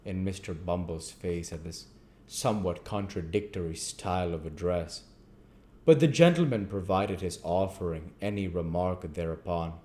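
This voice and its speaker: A man with a low-pitched voice narrates a classic novel. He reads scripted prose aloud as a storybook narrator. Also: warm tone. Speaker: audiobook reader.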